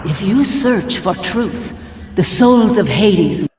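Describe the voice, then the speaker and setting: An actress playing a solemn mystical guide in a video game, giving portentous, cryptic counsel. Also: female voice, medium pitch, lively intonation, a little mellow, a little hiss or hum. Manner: slow, portentous. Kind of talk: dramatic video game acting. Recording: distant, cavernous long reverb.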